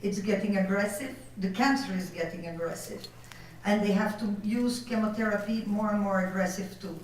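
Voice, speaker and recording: A woman with a Belgian French accent gives a lecture, halting now and then as she searches for words. Her voice carries from a distance in a very echoey hall, with a faint hum behind it. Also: medium pitch. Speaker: conference speaker. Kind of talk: lecture with slides. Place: conference hall.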